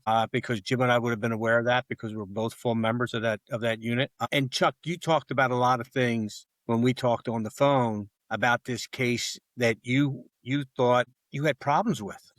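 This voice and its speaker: Earnest man, mid-pitched podcast host. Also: normal speed, few pauses, fairly bright tone, no echo. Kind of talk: casual podcast talk.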